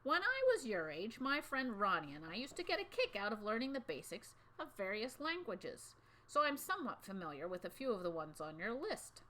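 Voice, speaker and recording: A woman voicing a kindly, curious male fourth grade teacher in a storybook, with patient, gentle questions. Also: medium pitch, big pitch swings, fairly quick, voice pushed up, rough recording. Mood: friendly, encouraging.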